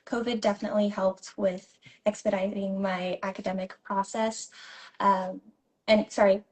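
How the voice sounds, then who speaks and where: A woman's voice: medium pitch, a little mellow. A woman in her twenties from California, a ballet dancer and teacher, speaking earnestly as an interview guest and now and then checking notes. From San Jose, California. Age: 20s. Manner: earnest, at times reads from notes. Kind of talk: casual interview chat.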